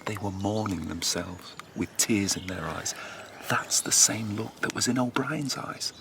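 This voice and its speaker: A low-voiced, earnest dissident, noisy background. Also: male voice, unhurried, gravelly. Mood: urgent, confiding. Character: earnest, haunted male dissident, hungry for rebellion. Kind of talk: naturalistic radio drama acting.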